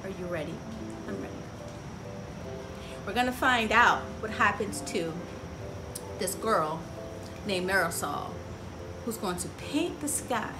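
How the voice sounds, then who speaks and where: A woman's voice: mid-range voice, animated, slow pace, pauses often, music in the background. A middle-aged woman hosting a children's story time, reading a picture book aloud expressively and asking the young listeners questions. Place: outdoors on a porch by trees. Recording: clear outdoor ambient noise.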